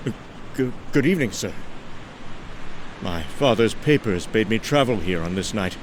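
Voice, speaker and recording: A man playing a lonely, uneasy traveller who narrates a gothic horror tale in a brooding, halting, theatrical way. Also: on the low side, animated, background noise, natural voice. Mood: nervous, halting. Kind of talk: dramatic scripted horror narration.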